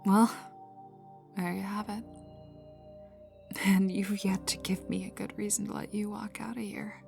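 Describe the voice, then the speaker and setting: A woman voicing a tough, bitter, sardonic young female villain in an intimate ASMR audio roleplay, often dropping into breathy half-whispers and swearing. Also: mid-range voice, level tone, a little slow, darkish tone. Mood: cold, mocking. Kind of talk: intimate ASMR roleplay, one side of dialogue. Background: ambient music.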